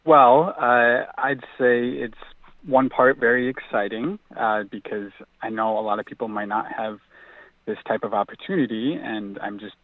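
A man's voice: mid-range voice, fairly even intonation, normal speed, no echo. A young adult man, an author and mental health advocate, calling in to a radio interview and speaking earnestly and reflectively, with frequent fillers. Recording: tinny phone line, dry.

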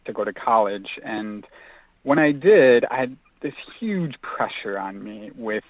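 A man's voice: fairly low pitch, animated, a little slow, dry recording. A young adult man, an author and mental health advocate, calling in to a radio interview and speaking earnestly and reflectively, with frequent fillers. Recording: tinny phone line, dry.

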